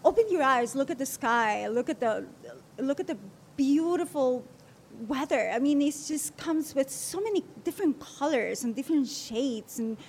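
Woman from peru, artist, gushing and excited about colors, slightly high voice. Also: moderate pace, slight background noise. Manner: enthusiastic, effusive. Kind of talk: interview.

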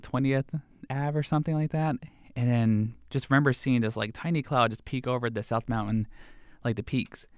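A man's voice: medium pitch, clean tone, no room sound. A young man photographer in a studio interview, talking in a laid-back, casual way. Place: radio studio.

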